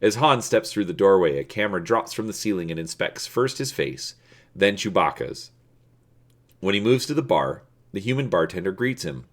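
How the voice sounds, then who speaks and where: A man hosting and dramatically reading an adventure story, deliberate and stretching words for emphasis. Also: deep voice, sing-song. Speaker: video host and storybook narrator.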